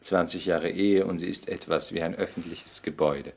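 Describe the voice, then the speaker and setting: An actor playing a suave, cynical English lord in a 1960s German radio play, urbane and drily ironic. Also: male voice, deep voice, fairly even intonation, normal speed, vocal fry. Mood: urbane, amused.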